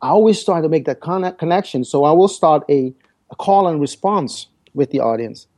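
Male podcast guest, Dutch first language, mellow and halting. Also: fairly high pitch, lively intonation. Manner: hesitant, repeats words while searching for phrasing. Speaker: guest speaker. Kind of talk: podcast interview.

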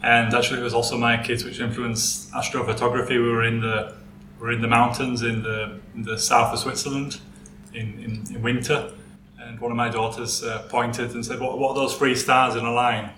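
A man speaks in a flat, bright voice, often repeating words as he goes. The room rings noticeably, with faint ambience behind him. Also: mid-range voice, brisk, roomy sound, slight background noise, rough recording. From West Yorkshire, England. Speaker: astrophotographer guest.

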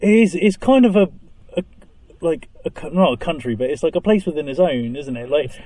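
A young man interviewing a band member in a casual chat, asking halting questions full of restarts and "obviously". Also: fairly high pitch, animated, very noisy.

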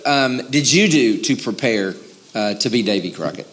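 A man's voice: medium pitch, lively intonation, moderate pace, fairly bright tone. A man interviewing guests in an easygoing way. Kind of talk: casual interview questions.

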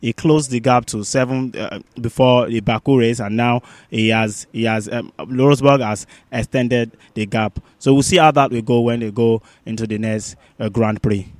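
A man co-hosting a sports podcast with casual news commentary, halting and often restating his phrases. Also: medium pitch, steady tone.

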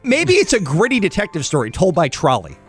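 Male radio host, raised pitch, very animated delivery, wisecracking banter over faint music. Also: brisk, husky. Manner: wisecracking, exclamatory.

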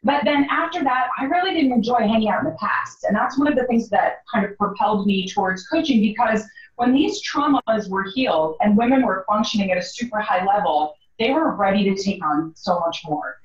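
A woman's voice: mid-range voice, lively intonation, brisk, distant mic. A woman with a strong voice speaks directly and plainly as a guest coach in a conversational interview. Her voice sounds set back from the mic in a roomy space, and the sound is somewhat dull. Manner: direct, no-nonsense. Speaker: guest coach, former psychotherapist.

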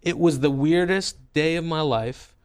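A man's voice: on the high side, unhurried, a little mellow, smooth. A man, a journalist and author, recounting his experiences in a wry, conversational way with many fillers and restarts. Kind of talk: interview, personal storytelling.

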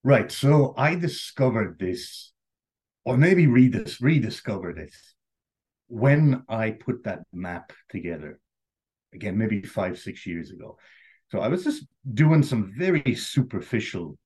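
A man's voice: on the low side, sing-song, a little slow, a little mellow, husky. An Irish man, a guest on an interview, telling his story in a casual, rambling way full of "you know" and "like". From Ireland.

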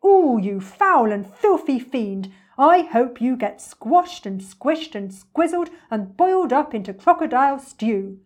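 A woman voicing a big, blunt male elephant in a children's storybook, scolding loudly in drawn-out outrage. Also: sits high, very animated, pitched above the performer's own voice, heavily acted. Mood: outraged. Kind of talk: dramatic children's storybook reading.

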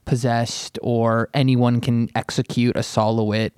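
A man's voice: medium pitch, flat delivery, a little slow, darkish tone, smooth, no echo, clean studio sound. A man talking as a critic in a casual panel discussion, opinionated and emphatic. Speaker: podcast panelist, art critic.

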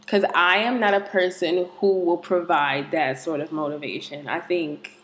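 A woman's voice: on the low side, steady tone, moderate pace, rough recording. A woman in casual banter, talking frankly and with animation about what she wants.

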